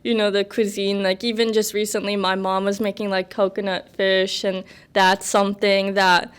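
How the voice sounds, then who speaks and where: A woman's voice: medium pitch, level tone, normal speed, smooth. A woman in her twenties from the United States, a podcast guest chatting casually, rambling with many fillers and laughs. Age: 20s. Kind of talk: casual interview chat.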